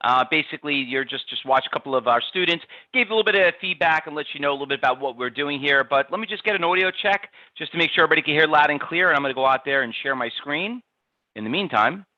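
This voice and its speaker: A man's voice: fairly high pitch, expressive, fast, bright, forceful tone. A man hosting a live webinar from home over a video call, casual and upbeat. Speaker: webinar host. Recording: dull video call audio.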